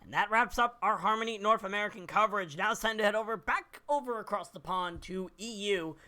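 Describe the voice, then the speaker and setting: A man hosts a casual esports podcast with a hoarse voice that is starting to give out. His voice sits a little high and bright. He reads lists of names and trades light jokes with his co-hosts. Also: a little fast. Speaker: podcast host. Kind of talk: casual esports awards commentary.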